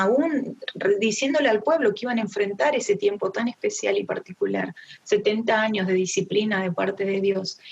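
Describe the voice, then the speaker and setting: A middle-aged woman with an Argentine accent gives a conversational devotional Bible teaching to a women's group, addressing her listeners directly. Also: mid-range voice. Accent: Argentine Spanish. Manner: engaged, addresses listeners directly with rhetorical questions. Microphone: online group call. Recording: dull, lo-fi online meeting audio.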